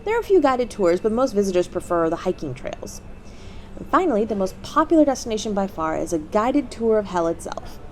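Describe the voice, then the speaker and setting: A woman playing a cheery, courteous demon receptionist who pitches a resort to a guest in a scripted audio roleplay. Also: sing-song, a little fast, slight background noise, close to an everyday voice. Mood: bright, helpful. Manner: bubbly, courteous customer-service patter. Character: cheery demon hotel receptionist, eager and polite, salesy.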